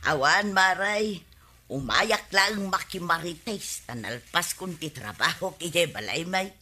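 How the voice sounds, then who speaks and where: A woman's voice: fairly low pitch, expressive, slow pace, sharp tone, heavily acted. A woman playing a chatty village neighbor in an Ilocano radio comedy, gossiping familiarly with an Ilocano accent. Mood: gossipy. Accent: Filipino, Ilocano.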